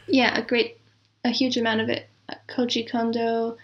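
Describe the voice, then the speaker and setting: A woman's voice: a little high, completely flat delivery, moderate pace, muffled recording. A woman speaking casually as an interview guest, a composer talking about creative work. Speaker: interview guest, composer and audio drama producer.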